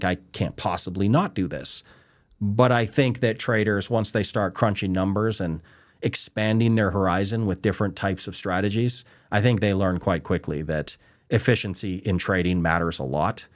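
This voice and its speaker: A man from Canada hosting a trading show, talking casually and emphatically and often asking "right?" and "you know". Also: fairly low pitch. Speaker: trading show host answering viewer questions. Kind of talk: casual unscripted explainer.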